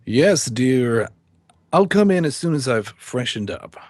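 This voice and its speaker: An actor playing a stern, middle-aged judge and weary husband, speaking formally and with measured delivery in an old-time radio drama. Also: male voice, medium pitch, sing-song, a little mellow, dry recording. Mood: composed. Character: stern male judge, weary husband confiding his downfall. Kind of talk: old-time radio drama acting and first-person narration.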